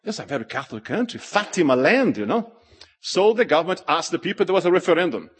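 A middle-aged man whose first language is Portuguese gives a public talk as an animated, joking storyteller. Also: on the high side, fast talker, husky. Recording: long hall reverb.

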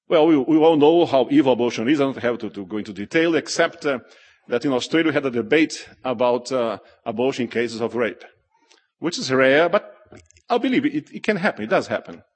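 A middle-aged man whose first language is Portuguese gives a public talk as an animated, joking storyteller. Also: medium pitch, slightly rough. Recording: long hall reverb.

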